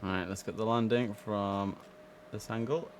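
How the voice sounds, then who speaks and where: A man with a fairly low voice doing livestream game commentary. He drifts between casual chat to himself and clipped controller instructions, with clear game ambience and noise behind him. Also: steady tone, normal speed. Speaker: streamer playing an air traffic controller. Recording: clear background noise under the voice.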